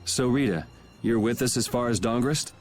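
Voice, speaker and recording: A man's voice: mid-range voice, moderate pace, a little mellow, soft background music, lightly acted. A man playing a laid-back young swordsman in a video game, speaking in a dry, matter-of-fact way. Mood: calm, wry.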